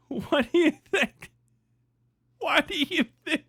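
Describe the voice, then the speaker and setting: A man's voice: very high-pitched, slow pace, fairly bright tone, dry recording, studio quality. A man live streaming in casual reaction chat, talking in an exclamatory, profane way.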